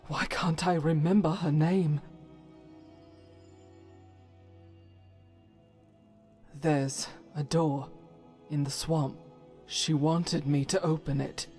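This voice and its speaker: An actress playing a devout, troubled warrior woman in a fantasy game, solemn and earnest with halting delivery. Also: low-pitched, darkish tone. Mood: shaken. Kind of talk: dramatic fantasy game voice acting.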